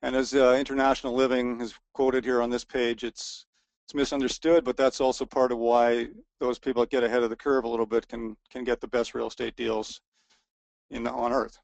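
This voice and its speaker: A man with a gravelly, mid-pitched voice talks through a webinar presentation, stumbling over restarts and fillers as his voice wears thin. The sound is dry and close, picked up by a computer microphone with no room around it. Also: level tone, a little fast, a little mellow, dry recording. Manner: hesitant, many restarts and fillers, self-deprecating. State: voice wearing out. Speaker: resort co-owner presenting a webinar. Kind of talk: informal sales presentation.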